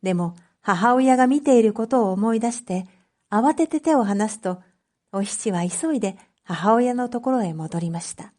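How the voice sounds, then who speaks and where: A woman reading a story aloud as a narrator, in a measured, clearly phrased storytelling manner for reading practice. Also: a little high, lively intonation, warm tone. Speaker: narrator, Japanese reading teacher.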